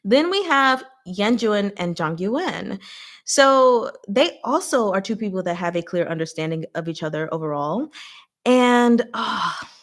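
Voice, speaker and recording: Female livestream host, mid-pitched, casual and expressive, chatty fan commentary with informal slang. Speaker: host of a live book recap and discussion.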